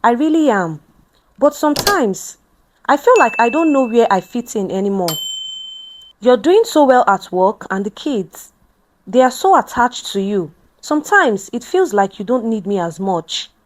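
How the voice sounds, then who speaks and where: Thoughtful, slightly insecure young father for a narrated story, played by a woman, high lively voice. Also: measured pace. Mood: hesitant, downcast. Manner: earnest, thoughtful.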